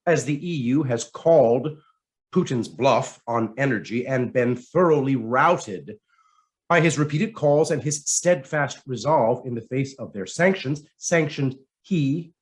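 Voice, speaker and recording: A man reading a scripted commentary aloud in a dramatic, emphatic way with deliberate phrasing. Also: medium pitch. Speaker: reader of written commentary.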